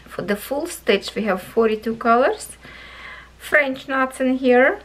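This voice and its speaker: A woman hosting a craft vlog, chatting slowly through a cross stitch kit review, voice going hoarse, recorded at a table indoors. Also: high-pitched, expressive, poor audio. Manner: chatty, thinking aloud, soft and slow. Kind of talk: casual product review vlog. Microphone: camera over a table, hands only in frame.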